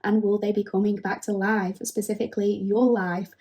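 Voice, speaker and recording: Husky woman getting over a cold, warm low tone, talks gently and reassures you. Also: flat delivery, moderate pace. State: recovering from a cold. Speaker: tarot reader. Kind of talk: friendly scripted intro to a tarot reading.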